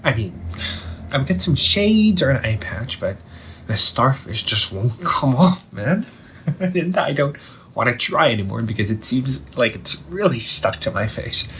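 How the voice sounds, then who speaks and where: Guy playing an undead big brother back from the sea, slow n teasing, voice swings around, noisy recording. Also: mid-range voice, big pitch swings, a bit bright. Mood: casual, rueful. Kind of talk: audio roleplay monologue to the listener.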